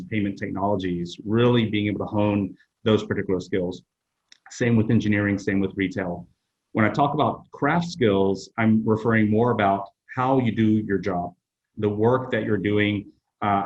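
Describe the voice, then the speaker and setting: Middle-aged man, Vietnamese native, low voice, hesitant-measured delivery over dull call audio. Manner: measured, many "uh" pauses. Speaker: HR executive guest. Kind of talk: interview answers.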